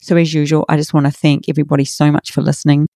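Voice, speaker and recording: Raspy middle-aged woman giving encouragement. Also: sits low, flat delivery, very fast, warm tone, dry recording, studio quality. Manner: earnest, encouraging. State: hoarse from a workshop. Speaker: podcast host and coach. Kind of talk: motivational podcast monologue.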